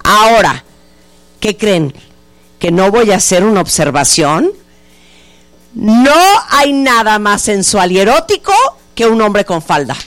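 A woman's voice: medium pitch, animated, measured pace, pauses often, a bit bright. A middle-aged woman with a Mexican accent hosting an interview in a playful, flirtatious, exclamatory way. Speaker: show host.

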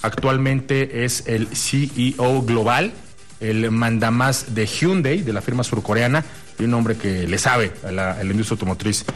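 A man's voice: medium pitch, normal speed. A man with a Mexican accent hosting a radio show in a courteous, chatty, conversational way. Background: music bed.

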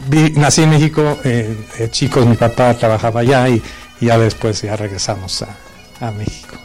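Measured male guest explaining calmly. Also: medium pitch, faint music behind. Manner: informative, measured, hesitant fillers. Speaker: bagpipe band guest. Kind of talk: explanatory interview answers.

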